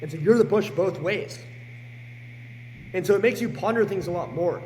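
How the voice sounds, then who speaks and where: Hoarse teen guy on a podcast, kinda high voice, swears a lot, echoey room. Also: normal speed, slight background noise. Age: late teens. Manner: energetic and blunt, swears freely. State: hoarse, sick with a sore throat. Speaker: guest. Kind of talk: casual podcast chat.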